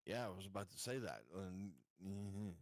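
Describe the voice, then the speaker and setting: A man playing a tabletop roleplaying game, chatting casually and asking cautious questions in a halting, word-repeating way. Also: sits low, fairly even intonation, no room sound, clean studio sound.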